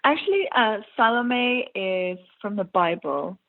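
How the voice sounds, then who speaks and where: A woman's voice: mid-range voice, lively intonation, measured pace, gravelly. A woman from Iran with an English accent, an actress chatting casually in an interview, with many fillers and frequent laughs. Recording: tinny phone-like line.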